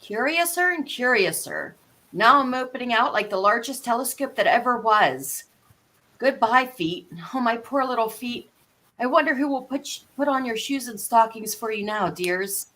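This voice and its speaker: A woman's voice: a little high, animated, normal speed, pushed and bright. A woman playing a curious, polite young girl who talks to herself in wonder, in a storybook live read. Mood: amazed.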